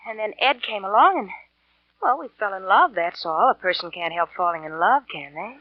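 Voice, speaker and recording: An actress playing a pleading, evasive former sweetheart in a 1940s radio melodrama. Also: female voice, mid-range voice, lively intonation, brisk, no echo, natural voice. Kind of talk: 1940s radio drama acting. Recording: dull, dry vintage radio recording.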